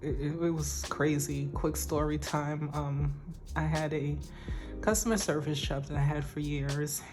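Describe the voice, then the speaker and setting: Woman, weak shaky voice, low pitch, slow hesitant casual chat, music behind. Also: steady tone. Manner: slow and soft-spoken, with hesitant ums and light laughs. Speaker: budgeting vlogger.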